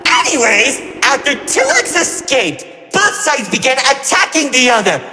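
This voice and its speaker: High-pitched, theatrical, gleeful trickster villain. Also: male voice, very animated, unhurried, pushed and bright, exaggerated voice. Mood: dramatic storytelling. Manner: mocking, flippant, melodramatic, swinging between grandeur and glee. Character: gleefully cruel, theatrical immortal trickster villain recounting war. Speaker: narrator villain. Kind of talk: theatrical storytelling narration. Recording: echoey hall reverb over music. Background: music.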